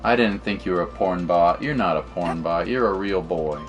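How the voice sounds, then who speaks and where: A man's voice: low voice, normal speed, soft background music. A man chatting casually on a game live stream in an offhand, slangy way.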